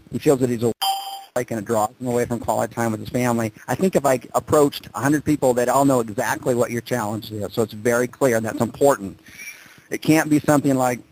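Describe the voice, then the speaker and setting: A man's voice: medium pitch, poor audio. A man leading a group conference call as a coach, directing the other person with probing questions.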